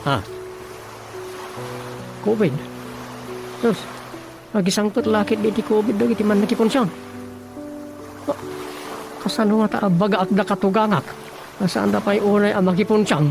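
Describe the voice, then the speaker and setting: A grouchy village councilman with an Ilocano accent who will not budge. The delivery is grumbling and exclamatory radio comedy, set over clearly audible music. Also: high-pitched, little pitch movement, normal speed, pauses often. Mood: exasperated grumbling. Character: grumpy, stubborn village councilman, comic lead.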